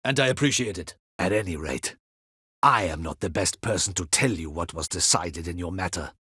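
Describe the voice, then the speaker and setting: A stern, husky guard captain. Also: male voice, sits low, expressive, moderate pace, sharp tone, dry recording, heavily acted. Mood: formal, stern. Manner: formal, clipped, commanding, archaic courtly phrasing. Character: proud, stern male captain of a fantasy ducal guard. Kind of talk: dramatic video game voice acting.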